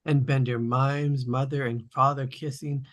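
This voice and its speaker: A man with a gravelly, husky voice reads stage directions as narrator of a script table read. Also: mid-range voice, little pitch movement, unhurried.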